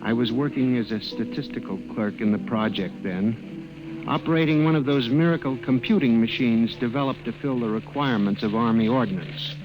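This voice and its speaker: An actor playing a timid, hesitant middle-aged male clerk who narrates and acts in an old-time radio drama, with an apologetic, quietly resentful manner. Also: mid-range voice, moderate pace, lightly acted, poor audio. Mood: haunted, reflective. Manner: hesitant, meek, deferential. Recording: old radio broadcast with music bed.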